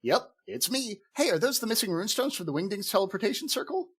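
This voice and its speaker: A man playing an excitable, scheming gnome leader who rambles through fussy explanations in an amateur comedic fantasy audio drama. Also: sits high, quick pace, husky, full character voice. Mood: sheepish, eager. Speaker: podcast cast member.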